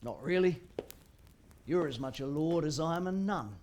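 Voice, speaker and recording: A woman's voice: sits low, expressive, normal speed, a little mellow. A cheeky young commoner in a game cutscene. She talks plainly and informally, with faint noise in the background. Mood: wry, self-deprecating. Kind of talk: naturalistic game cutscene acting.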